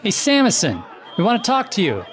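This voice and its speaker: An actor playing a cocky high school bully in an audio drama, taunting and slangy. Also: male voice, huge pitch swings, a little fast. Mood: goading. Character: cocky bullying male high school student, brash, goading. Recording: loud music and crowd behind.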